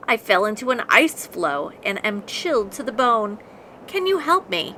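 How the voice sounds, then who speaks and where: A woman's voice: medium pitch, sing-song, moderate pace, pushed and bright, slight background noise, poor audio. Woman putting on a growly, chilled wolverine. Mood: gruff, needy.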